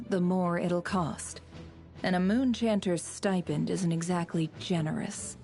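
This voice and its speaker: An actress playing a shrewd, aloof intelligence boss in a video game, cool and sardonic in manner. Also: female voice, fairly low pitch, mellow. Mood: sly, dismissive. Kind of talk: video game dialogue acting.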